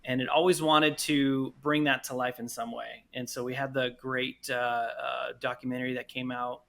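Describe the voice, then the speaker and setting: A man with a bright, forward voice chats as a podcast guest. His delivery is casual, conversational and enthusiastic, at a middling pitch. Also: little pitch movement, moderate pace, gravelly. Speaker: podcast guest, audio drama producer. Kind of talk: casual interview chat.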